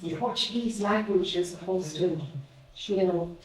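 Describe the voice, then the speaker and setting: A man in the audience eagerly calls out answers. His voice is high, and it sounds faint and far off in a reverberant room. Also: normal speed. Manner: eager, chimes in from the crowd. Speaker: audience member. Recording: distant and echoey, from the audience.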